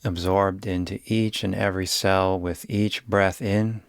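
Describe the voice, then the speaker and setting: A man guiding a yoga nidra meditation in a soothing, unhurried way, giving gentle instructions with long pauses. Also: sits low, flat delivery, gravelly, smooth, no room sound, studio quality. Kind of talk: guided meditation.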